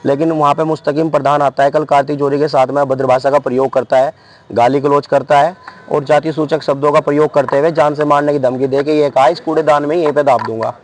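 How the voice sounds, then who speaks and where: A man's voice: a little high, steady tone, fast talker. A man with a western Uttar Pradesh accent, an activist speaking off the cuff to lay out a complaint. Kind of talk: spontaneous spoken complaint.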